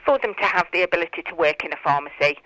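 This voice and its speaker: Bright-voiced middle-aged British woman. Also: lowish voice, very fast, no room sound, rough recording. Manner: explanatory. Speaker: interview guest, college tutor. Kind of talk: radio interview answers.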